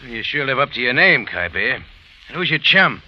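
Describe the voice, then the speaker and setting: An actor playing a tough, sardonic American cafe owner in a hard-boiled 1950s radio drama. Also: male voice, mid-range voice, sing-song, moderate pace, close to an everyday voice. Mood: sardonic, guarded. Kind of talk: 1950s radio acting and narration. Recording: muffled old radio recording, loud music.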